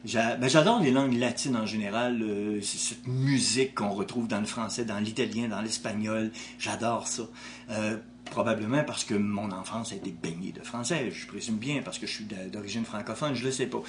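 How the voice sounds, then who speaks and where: A man's voice: fairly low pitch, normal speed, slight background noise. A man with a Québécois French accent, an author answering interview questions in a thoughtful way, with frequent restarts. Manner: thoughtful, with many restarts and hesitations.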